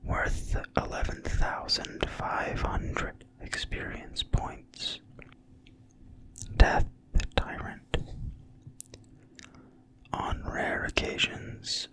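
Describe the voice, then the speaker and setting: An ASMR creator reading in a quiet, breathy, soothing whisper with no voicing at all. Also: rough recording. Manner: quiet, slow, soothing delivery. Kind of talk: whispered ASMR reading of a fantasy game manual.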